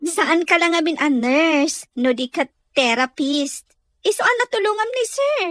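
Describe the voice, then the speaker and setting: Woman acting as very high-pitched chatty friend. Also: lively intonation, measured pace, fairly bright tone, no room sound, exaggerated voice. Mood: cheerful teasing. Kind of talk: Ilocano audio drama acting.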